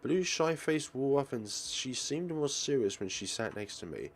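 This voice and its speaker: A man hosting a let's play, reading visual novel narration aloud in a theatrical, expressive way. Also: medium pitch, normal speed.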